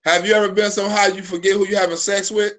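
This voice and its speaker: A man's voice: high-pitched, little pitch movement, quick pace, fairly bright tone. A man in his forties hosting a live stream, telling loose, profane stories full of restarts. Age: 40s. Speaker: live show host. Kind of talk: casual live-stream chat.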